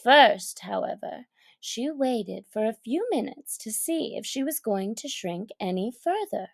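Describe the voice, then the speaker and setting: A woman with a high, very animated voice narrates a children's story. She takes her time, phrasing it deliberately and dramatically, in a close, dry recording. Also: vocal fry, no echo.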